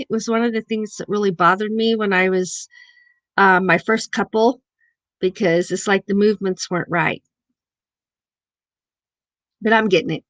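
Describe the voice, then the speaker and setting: A woman's voice: mid-range voice, a bit bright, no room sound. A woman from southern Missouri with a southern Missouri accent, chatting casually with viewers as host of a livestream. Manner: chatty, reads viewer chat aloud. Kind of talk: casual live chat.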